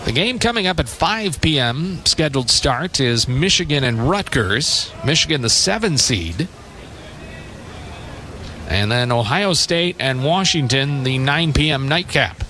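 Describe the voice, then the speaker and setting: A man's voice: a little high, animated, measured pace, pushed and bright, a little hiss or hum. An American man calling baseball play-by-play on live radio in clipped, punchy phrases. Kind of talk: live radio sports commentary. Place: ballpark broadcast position.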